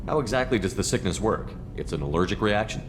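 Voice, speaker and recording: An actor playing a serious military space commander in a video game, with a matter-of-fact manner. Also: male voice, on the low side, expressive, quick pace, background noise, close to an everyday voice. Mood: probing, serious.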